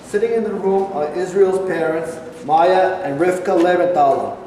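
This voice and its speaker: A man's voice: sits high, moderate pace, dark tone, a little hiss or hum. A man reading stage directions as a scripted narrator. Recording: very echoey hall, distant mic.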